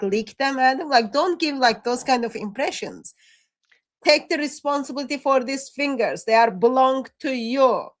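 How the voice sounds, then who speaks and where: A woman co-hosting a live stream in casual chat. She teases and jokes with a dry, playful manner. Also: on the high side. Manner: teasing and playful, dry humor.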